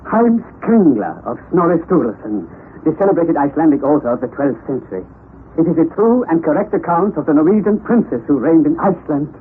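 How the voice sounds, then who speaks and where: An actor playing an eccentric, excitable, scholarly German professor of about fifty in a radio drama, theatrical and instructing. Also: male voice, fairly high pitch, fairly quick, phone-quality sound. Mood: enthusiastic, learned. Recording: noisy, thin, band-limited old radio recording.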